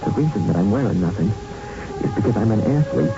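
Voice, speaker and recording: An actor in a 1970s radio drama playing a tough, haunted young boxer who tells his story in a halting, intense, streetwise way. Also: lowish voice, expressive, over music. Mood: earnest, recounting. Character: haunted young male boxer, tough, plain-spoken, shaken. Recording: muffled, noisy 1970s radio recording.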